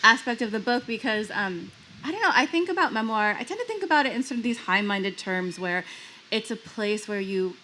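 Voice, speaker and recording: A woman's voice: a little high, expressive, fairly quick, bright, forceful tone, a little hiss or hum, poor audio. A woman from the United States, a panelist author, talking casually and thoughtfully on a live panel. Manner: casual, thoughtful, many "like" fillers. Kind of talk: conversational panel discussion.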